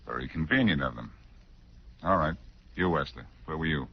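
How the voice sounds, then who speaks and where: An actor playing a cool-headed, sardonic amateur sleuth in a 1940s radio crime drama. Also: male voice, extremely low pitch, lively intonation, moderate pace, a little hiss or hum. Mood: sardonic, pressing. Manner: sardonic, needling. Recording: dull restored 1940s radio recording.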